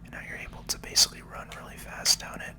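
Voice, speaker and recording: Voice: fast, bright, forceful tone. A person giving whispered, casual ASMR commentary while hosting a video game playthrough, explaining things as they go. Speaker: host, gaming video creator.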